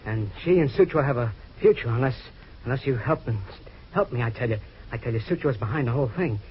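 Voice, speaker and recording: An actor playing a nervous, paranoid husband who pleads anxiously and urgently, in an old-time radio drama. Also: male voice, medium pitch, expressive, fast talker, lightly acted. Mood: desperate, pleading. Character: nervous, paranoid husband begging a detective for help. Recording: dull, noisy vintage radio recording.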